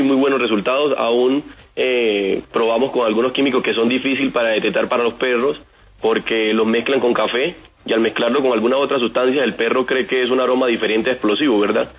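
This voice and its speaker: A man's voice: medium pitch, husky. A man in his twenties from Antioquia with a mixed Antioquian and coastal Colombian accent, answering radio interview questions earnestly with many fillers. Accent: Antioquian with Colombian Caribbean coast touches. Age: 20s. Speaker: inventor guest. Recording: tinny phone line.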